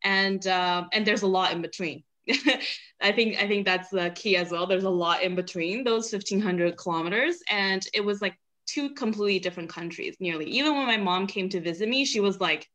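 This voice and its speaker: A woman's voice: mid-range voice, fairly quick. A young woman from China whose first language is Mandarin, telling stories casually and with animation on a video call panel. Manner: chatty, laughs often, animated.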